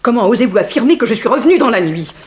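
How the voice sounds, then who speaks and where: Quick, echoing accused woman, played by an actress. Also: fairly high pitch, expressive, darkish tone, natural voice. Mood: indignant. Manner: indignant, dramatic. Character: defiant, cornered young goddaughter accused of murder. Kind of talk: 1960s French radio mystery acting.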